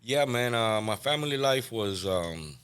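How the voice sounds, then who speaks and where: A man in his forties from Cuba, a guest on a recovery podcast, telling his life story candidly and often trailing off softly. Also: mid-range voice, expressive, measured pace, smooth. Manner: candid, soft-spoken, trails off quietly.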